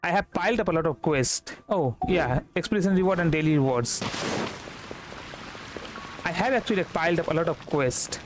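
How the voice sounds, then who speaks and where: High-pitched man rambling on stream. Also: lively intonation, brisk, long pauses. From India. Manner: rambling, repeats words. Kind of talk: casual gameplay livestream commentary. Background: game music.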